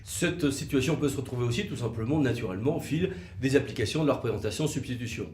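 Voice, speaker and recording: A man presenting an explanatory legal video in a conversational way, with rhetorical questions and hesitant pauses. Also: mid-range voice, a little mellow. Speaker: legal commentator for a law firm, co-presenter. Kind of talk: explanatory legal video, semi-scripted two-hander. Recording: roomy, echoing sound.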